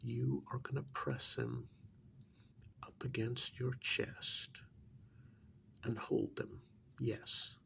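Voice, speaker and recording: A man playing a stern, exasperated handler of a covert operation in a soft-spoken ASMR role-play, speaking in a deliberate, halting, gravelly half-whisper with menacing emphasis. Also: medium pitch, big pitch swings, a little hiss or hum, full character voice. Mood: stern instructing.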